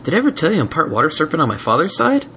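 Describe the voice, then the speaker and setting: Guy doing a whiny little boy dragon for a story, high excitable voice, swings all over, bit of background noise. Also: rapid-fire, exaggerated voice. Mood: excited, whiny. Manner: pleading, indignant, whining. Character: whiny excitable ten-year-old male baby dragon. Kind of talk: fan fiction audiobook character voice.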